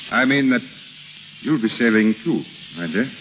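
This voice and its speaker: A middle-aged man acting in a 1930s radio drama as a proud, wounded husband with formal, halting speech. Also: medium pitch, lively intonation, muffled recording, lightly acted. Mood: resigned. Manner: formal, halting under emotion. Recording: noisy, sizzling 1930s radio recording.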